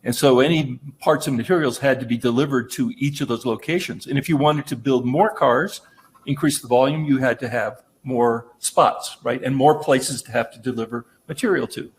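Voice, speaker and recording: A man presents a manufacturing training session in an easygoing, conversational manner. His voice sits at an ordinary middle pitch, and he keeps checking in with his listeners as he explains. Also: normal speed. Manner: conversational, explanatory, tag "right?".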